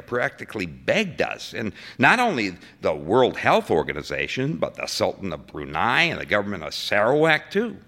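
A man's voice: fairly low pitch, bright, forceful tone, heavily acted. An actor playing a defensive, exasperated civil servant testifying to senators, folksy and emphatic, stammering as he justifies himself in a dramatic story reading.

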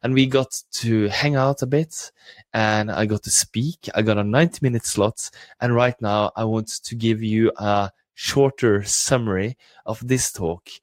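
A man's voice: on the low side, measured pace, dry recording. A man with a Norwegian accent giving an enthusiastic motivational live broadcast talk as a coach trainer. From Norway.

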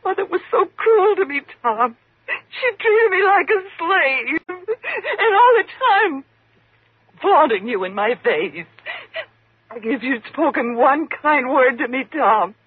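A thin, noisy old radio recording carries a guilt-ridden young woman. Her voice is high and swings widely, overwrought and halting, pleading in full melodramatic 1950s style. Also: very animated, no echo, narrow, tinny audio, exaggerated voice. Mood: bitter, tearful. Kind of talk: 1950s radio drama acting.

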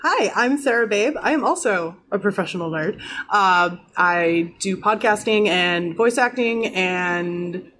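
A woman's voice: medium pitch, lively intonation, measured pace, a bit bright, slight background noise. A woman guest player chatting casually and joking at a tabletop game session. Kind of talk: casual chat.

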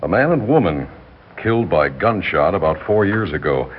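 An actor playing a skeptical American police lieutenant, firm and measured, in a 1960s radio drama. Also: male voice, low-pitched, sing-song, slight background noise. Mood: accusing. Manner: measured, firm, dryly insistent. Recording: dull 1960s radio recording with music.

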